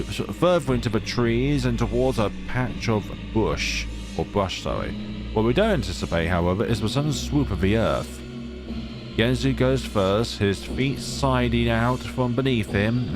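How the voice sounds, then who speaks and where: Low-pitched man reading a story aloud. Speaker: video game let's player. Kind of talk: reading a horror visual novel aloud with casual commentary.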